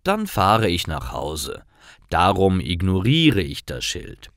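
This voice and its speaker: A man narrating scripted stories for language learners in deliberate, clearly separated short sentences. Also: low-pitched, huge pitch swings, a bit bright, no room sound, clean studio sound.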